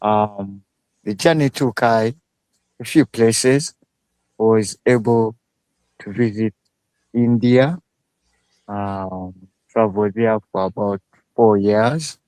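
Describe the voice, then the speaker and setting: A man from Uganda speaking as a guest on a live show. He talks slowly, with many pauses and fillers. His voice is smooth and rather low, and the sound is dry and close. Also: darkish tone, no room sound, rough recording. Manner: slow, halting speech with many fillers. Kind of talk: casual live interview.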